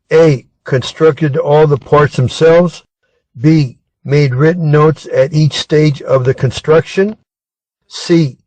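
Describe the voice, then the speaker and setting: American man reading exam material deliberately. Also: fairly high pitch, a little mellow, no echo, rough recording. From Arizona, United States. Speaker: reader of test material. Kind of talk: scripted reading of exam questions.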